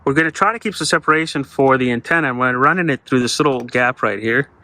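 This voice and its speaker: A man's voice: medium pitch, quick pace, bright, forceful tone. A middle-aged man chatting informally and crudely as he works with his hands. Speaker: host of an off-road vehicle install channel. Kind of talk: casual hands-on chat while working. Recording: music playing behind the voice. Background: music.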